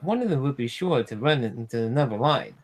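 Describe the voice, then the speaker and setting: A man reading a children's storybook aloud as a storyteller, in an animated style. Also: medium pitch, brisk. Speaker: storyteller, streamer.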